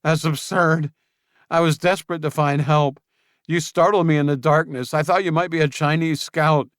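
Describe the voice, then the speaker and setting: A man's voice: a little high, steady tone, moderate pace, no room sound, studio quality, pitched above the performer's own voice, lightly acted. A man voicing a shifty, panicking young traitor. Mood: defensive, pleading. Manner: pleading, defensive, agitated. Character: cornered young male traitor, defensive and shifty.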